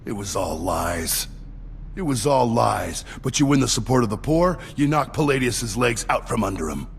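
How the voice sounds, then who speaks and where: Casting: a rough street cultist in a game; fry-edged, clipped, plain-spoken, heard in long reverb. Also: male voice, mid-range voice, big pitch swings, moderate pace, a little hiss or hum. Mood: gruff, defiant. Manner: blunt, clipped, colloquial. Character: brash, blunt, rough street rebel and cultist.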